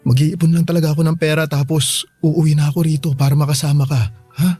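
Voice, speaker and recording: A man's voice: a little high, flat delivery, quick pace, mellow, breathy edge, soft background music. An actor playing a loving, apologetic Filipino father who works abroad, speaking tenderly and pleadingly in a radio drama. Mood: tender, regretful.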